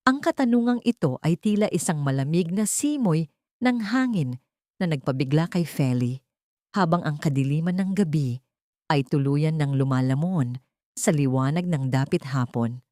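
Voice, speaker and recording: Female narrator, Filipino accent, slightly low register, solemn dramatic storytelling, close dry recording. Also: normal speed, a little mellow, no room sound.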